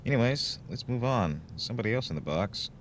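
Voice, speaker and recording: A man's voice: medium pitch, animated, brisk. A man moderating a livestream debate, speaking in a casual, blunt style. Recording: faint background noise.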